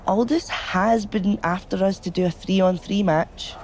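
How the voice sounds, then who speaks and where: A woman's voice: mid-range voice, a little slow, darkish tone, a little hiss or hum, natural voice, rough recording. A woman voicing a cool, disapproving member of a witch coven in a wrestling video game, dry and sceptical. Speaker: voice actor in a video game cutscene.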